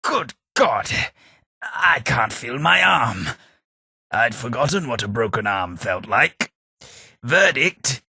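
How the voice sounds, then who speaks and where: Raspy, haughty old British ghoul. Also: male voice, mid-range voice, sing-song, slow, long pauses, sharp tone, no room sound, studio quality, full character voice. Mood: pained. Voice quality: raspy, gravelly. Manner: pompous, formal, wry. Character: haughty, dry-witted old aristocratic male ghoul. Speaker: video game companion character. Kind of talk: scripted video game voice acting.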